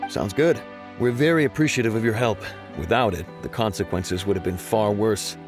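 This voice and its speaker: A man's voice: on the low side, moderate pace, music in the background, close to an everyday voice. A man playing a composed, formal and businesslike law officer in a video game.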